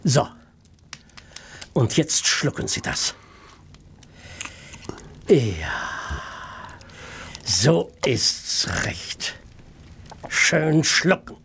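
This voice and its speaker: An actress playing a coldly soothing woman poisoner in a radio drama, coaxing with false gentleness and long pauses. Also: low voice, big pitch swings, a little hiss or hum, heavily acted. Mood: soothing menace.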